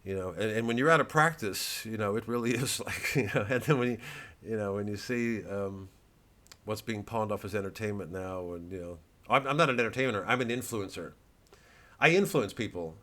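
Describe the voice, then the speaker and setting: Kinda low-voiced guy rambling nervously to camera, lots of restarts, mocks himself. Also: brisk, fairly bright tone. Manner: rambling, self-mocking, many restarts and fillers. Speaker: comedian promoting his show. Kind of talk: casual direct-to-camera chat.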